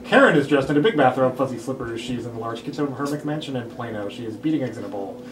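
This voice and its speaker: A man's voice: mid-range voice, animated, fast. A man reading scripted stage directions aloud at a table read. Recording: roomy, distant mic, clear background noise.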